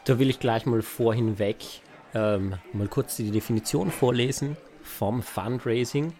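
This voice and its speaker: A man's voice: medium pitch, a little slow, a little mellow. A man from South Tyrol with a South Tyrolean accent, chatting casually as a podcast co-host. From South Tyrol, Italy.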